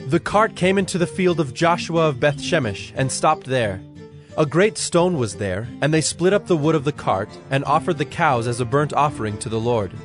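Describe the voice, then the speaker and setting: A man narrating scripture from a script in a measured, solemn storytelling manner. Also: a little high, animated, faint music behind.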